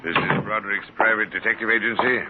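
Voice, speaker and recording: A man's voice: on the low side, steady tone, close to an everyday voice. A man playing a prim, pedantic scholar. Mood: formal. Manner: formal, pedantic, measured. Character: odd, precise scholarly man seeking Martians. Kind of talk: 1950s radio drama acting. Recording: muffled old radio broadcast with hiss.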